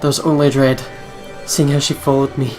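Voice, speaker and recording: A male gamer with a medium-pitched voice reads horror game narration aloud. He tells it dramatically, with clear music behind him. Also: little pitch movement, normal speed, a little mellow. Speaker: let's play host. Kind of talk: reading visual novel narration aloud with casual reactions.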